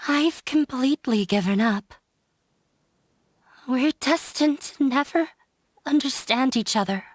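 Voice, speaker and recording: High-voiced, wounded young runaway daughter. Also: female voice, takes it slowly, no echo, clean studio sound. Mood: sad, resigned. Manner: earnest, bitter, resigned. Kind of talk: scripted video game voice acting. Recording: music behind the voice.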